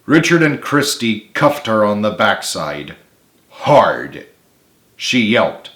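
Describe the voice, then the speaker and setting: A man's voice: lowish voice, big pitch swings, takes it slowly, a bit bright. A man reading a story aloud as a dramatic narrator in an echoey room. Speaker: author reading own book.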